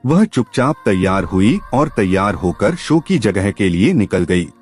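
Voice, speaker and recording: Male narrator for dramatic audio fiction: low register, highly varied melody, soft music bed. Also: very animated, measured pace, slightly rough. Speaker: storyteller narrator. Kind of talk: dramatic scripted story narration. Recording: music behind the voice.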